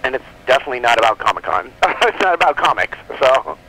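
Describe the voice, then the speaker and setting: Man, bright forceful voice, casual guest chat, jokey, frequent "like", faint noise behind. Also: medium pitch, big pitch swings, fast, creaky, low-quality recording. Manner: chatty, jokey, peppers talk with "like". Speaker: comic book publisher guest on a show.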